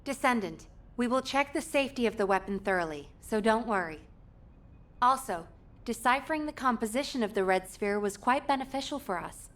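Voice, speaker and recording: Polite researcher in a video game, highish voice, diligent and reassuring. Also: female voice, expressive, a little fast, a bit bright. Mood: reassuring, pleased. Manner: reassuring, precise. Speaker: actor voicing a game character. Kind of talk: scripted game dialogue.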